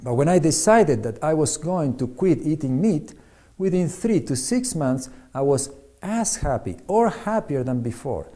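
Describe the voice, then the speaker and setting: A man from Argentina, reading a prepared diet talk in a measured, instructive way. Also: on the high side, animated, warm tone. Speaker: doctor giving diet advice.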